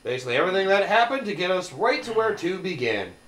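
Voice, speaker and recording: A co-commentator on a game playthrough, expressive and exclaiming, swearing often in casual chat. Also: mid-range voice, fast talker, roomy sound. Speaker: let's play co-commentator.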